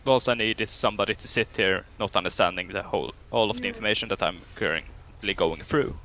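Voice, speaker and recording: A man's voice: lowish voice, fast talker, gravelly, pushed and bright, a little hiss or hum. A young man with a Swedish accent running a roleplay police training, stern and drill-like with dry humor. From Sweden. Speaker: training officer.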